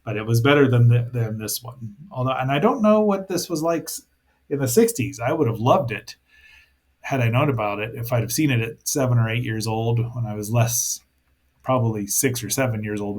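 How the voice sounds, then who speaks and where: A man hosting a casual review show, rambling and thinking aloud with playful asides as he comments on a cartoon. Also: mid-range voice.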